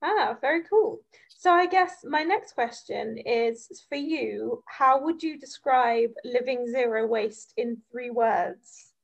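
A woman's voice: fairly high pitch, slow pace, gravelly, highs cut off. A woman hosting a podcast interview, talking in a friendly, conversational way. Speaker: podcast host.

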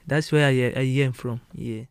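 A man with a Congolese accent answering interview questions in halting, non-native English, often repeating words and laughing. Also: medium pitch, steady tone, creaky, clear, resonant tone, no echo, clean studio sound. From Democratic Republic of Congo. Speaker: guest musician.

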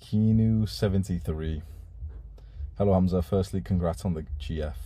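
A man's voice: deep voice, moderate pace, dark tone. A young man whose first language is Urdu, hosting a casual live stream and reading out donation messages in a laid-back way, swearing casually.

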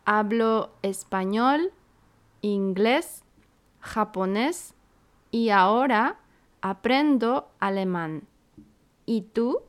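A woman from Santiago, a teacher co-hosting a slow, scripted beginner lesson with clear, deliberate phrasing. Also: mid-range voice, lively intonation. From Santiago, Chile. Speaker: podcast co-host, Spanish teacher.

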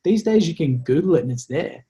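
A man's voice: fairly high pitch, animated, fairly quick, warm tone, breathy edge. An Australian man, a former soldier, telling stories as a podcast guest in a casual, rambling, reflective and joking way. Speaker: interview guest, former special forces soldier. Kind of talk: casual podcast conversation, storytelling.

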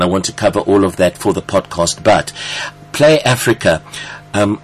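A man's voice: deep voice, slight background noise, rough recording. A man hosting a radio interview, gushing and effusive, stretching out his words in the studio. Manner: effusive, gushing, drawn-out phrasing. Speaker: radio show host.

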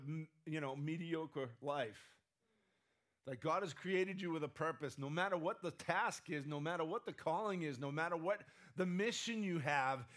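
A man's voice: a little high, fairly bright tone. A man preaching a conversational sermon, often asking the room "right?", his voice strained and sometimes cracking. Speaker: pastor.

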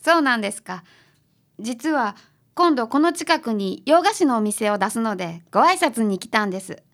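Thirtyish kansai lady pastry maker played by a woman, bright and pushy, slightly high voice, radio drama. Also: big pitch swings, normal speed. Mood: bright, polite.

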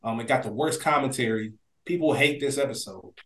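A man's voice: medium pitch, brisk, fairly bright tone. A man with a mid-range voice speaks in a very echoey room. He co-hosts a podcast, thinking aloud and explaining casually in a conversational flow. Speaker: podcast co-host. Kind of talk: casual discussion.

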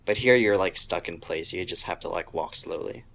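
A man with a deep voice chats casually as a podcast co-host. He talks about video games informally, with a griping, conversational delivery. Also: moderate pace, creaky, a bit bright.

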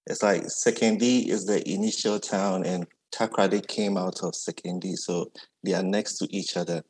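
Man, deep and relaxed, for a conversational artist interview. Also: normal speed, husky, low-quality recording. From Ghana. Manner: easygoing, reflective, often adds "yeah". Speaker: artist guest. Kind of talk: casual conversation.

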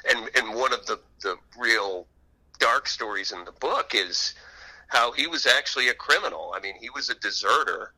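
A man speaking as an author guest in an interview, telling stories conversationally and acting out the odd quote in an animated way. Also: mid-range voice, moderate pace, breathy edge, poor audio.

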